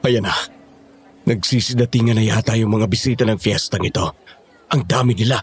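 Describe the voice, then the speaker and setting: A man's voice: fairly low pitch, a little fast, natural voice. A man with a Filipino accent playing a timid, uneasy companion in an audio drama. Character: anxious, peace-making male companion at a fiesta. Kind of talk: Tagalog audio drama acting.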